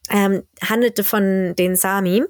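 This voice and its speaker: A woman in her thirties co-hosting a podcast in casual chat, stuffed up from a cold, talking lively and thinking aloud. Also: mid-range voice, slow pace, no echo, studio quality. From Germany. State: congested with a cold. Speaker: podcast co-host.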